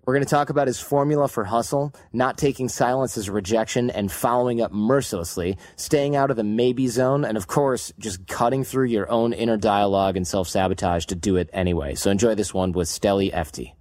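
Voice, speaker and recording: A man hosting a podcast, reading a brisk, upbeat promo and interviewing a guest. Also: fairly low pitch, a little mellow, dry recording. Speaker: podcast host. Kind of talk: scripted promo read and interview questions.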